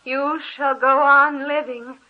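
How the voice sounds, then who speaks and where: An actress playing the ghost of a young woman seeking revenge in a 1940s radio horror play, speaking slowly and softly. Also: sits high, flat delivery. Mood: haunting. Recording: dull old radio recording.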